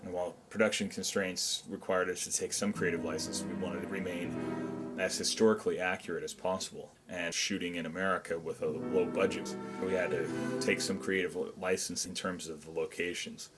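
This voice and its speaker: A man speaking as a film director, giving measured, earnest behind-the-scenes commentary. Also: low-pitched, flat delivery, over loud music.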